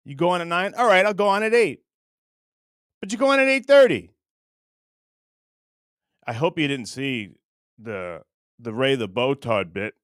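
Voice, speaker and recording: A man hosting a casual livestream, rambling and halting as he chats with viewers in a crude, jokey, profane way. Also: a little high, fairly bright tone, no room sound, studio quality. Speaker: livestream host.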